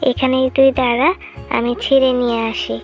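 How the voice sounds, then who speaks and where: A woman voicing a humble, gentle widowed mother fox in a children's cartoon story. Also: high-pitched, little pitch movement, fairly quick, faint music behind. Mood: soothing.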